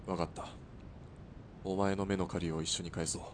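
Gruff, deep-voiced male sniper played by an actor. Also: little pitch movement, normal speed, a little hiss or hum, natural voice. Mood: worried, resolute. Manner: rough, blunt speech. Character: gruff, loyal male sniper worried for his partner. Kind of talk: Japanese audio drama acting.

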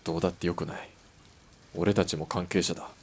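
An actor playing a steady, perceptive hired sniper in a radio drama, with blunt, rough masculine speech. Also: male voice, sits low, fairly quick, heavily acted. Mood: firm. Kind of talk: Japanese radio drama acting.

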